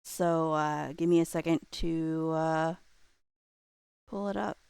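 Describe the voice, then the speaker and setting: Woman, livestream host, creaky fry-laden voice, casual, scattered, playful, thinking aloud, dry sound. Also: sits low, steady tone, slow pace, a little mellow, no room sound. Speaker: live streamer host.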